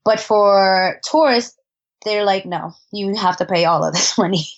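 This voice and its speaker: A chatty woman guest on a podcast, talking loosely with lots of fillers and little laughs. Her voice sits at an everyday middle pitch. The audio is a bit dull and lo-fi. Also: measured pace. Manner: chatty, laughs often, many fillers and restarts. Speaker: interview guest, traveler. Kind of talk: casual conversational storytelling.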